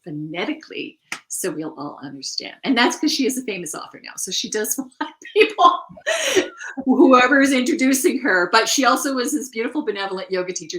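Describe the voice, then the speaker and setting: Animated, chatty woman co-host. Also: mid-range voice, brisk. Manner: animated, laughs often, thinks aloud with restarts. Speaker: podcast co-host. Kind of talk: casual conversation about writing.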